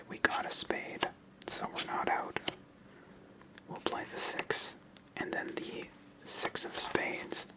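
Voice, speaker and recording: A fully whispered voice hosts an ASMR solitaire session. The delivery is hushed and unvoiced, narrated step by step in an explanatory way, close to the microphone. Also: low-quality recording. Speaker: host playing a solitaire card game. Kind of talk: ASMR whispered game commentary.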